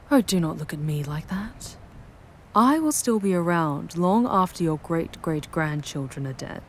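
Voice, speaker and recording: A woman voicing a charming, darkly seductive demoness in a scripted ASMR roleplay, speaking in measured, ornate, ominous phrases that drift toward breathy near-whispers. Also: low voice, darkish tone, slight background noise. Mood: wry, superior. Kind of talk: scripted ASMR audio roleplay, dramatic monologue.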